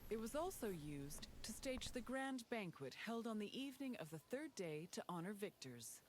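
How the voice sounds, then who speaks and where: A woman reading scripted documentary narration for a history game tour, in a measured, informative way. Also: mid-range voice, sing-song, a little hiss or hum.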